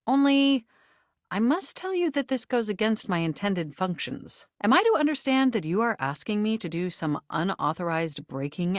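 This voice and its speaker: A woman voicing a helpful, earnest female computer in a video game, speaking formally and precisely but getting excitable and slangy. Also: mid-range voice, dry recording, studio quality, lightly acted. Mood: polite, obliging. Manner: formal, precise wording with bursts of giddy slang. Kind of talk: video game character voice acting.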